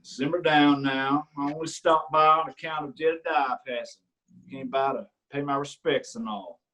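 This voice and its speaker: A man reads a middle-aged country sheriff with a drawl, pitched a little above the usual for a man. He needles the people he questions in a falsely friendly way, and he sounds pleased with himself. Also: fairly even intonation, normal speed, a bit bright, lightly acted. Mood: smug. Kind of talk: staged play reading.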